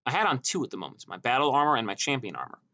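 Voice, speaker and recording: A man's voice: mid-range voice, big pitch swings, racing, sharp tone, dull audio. A man reading a scripted first-person story as an audiobook narrator.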